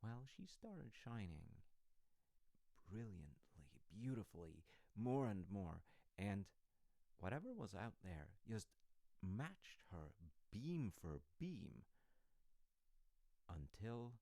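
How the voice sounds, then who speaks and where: A deep, gravelly dad acted by a man speaks close and dry. He tells a comforting story to his child in an intimate audio roleplay, gentle and reflective, leaving soft pauses between thoughts. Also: sing-song, no echo, exaggerated voice. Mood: animated, delighted. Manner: unhurried, reflective, with soft pauses and hushed moments.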